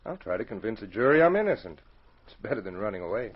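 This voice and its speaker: Plain-spoken, muffled radio-drama outlaw. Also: male voice, on the low side, sing-song, quick pace, husky. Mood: frank, insistent. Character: frank, resigned accused robber protesting his innocence. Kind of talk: 1940s radio drama acting. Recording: muffled 1940s radio recording.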